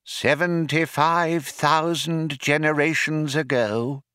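A man's voice: fairly high pitch, steady tone, takes it slowly, pushed and bright, smooth, no room sound, studio quality, voice pushed up. A man playing a solemn, portentous male official. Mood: solemn anticipation. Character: solemn, severely dressed male keeper awaiting an ancient computer. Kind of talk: audiobook character voice.

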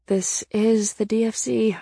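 A woman's voice: medium pitch, normal speed, mellow, breathy edge, no room sound, clean studio sound, natural voice. A woman voicing an urgent, bossy young female adventurer in an audiobook, emphatic and insistent. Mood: firm.

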